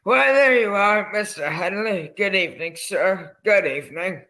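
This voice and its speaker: Man playing a bright-voiced, folksy old salesman. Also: sits high, moderate pace. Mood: eager, polite. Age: older. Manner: rambling, folksy, courteous sales patter. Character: folksy, eager old coffin salesman, politely sinister. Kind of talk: old-time radio drama acting.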